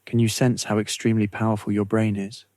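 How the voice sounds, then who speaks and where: A man narrating a scripted guided meditation in a slow, measured, soothing way with long pauses. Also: on the low side, flat delivery, a little mellow, no echo, studio quality.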